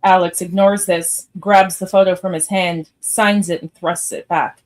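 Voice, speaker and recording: A woman's voice: on the low side, fairly even intonation, unhurried. A woman narrator reading screenplay stage directions aloud in a scripted live read.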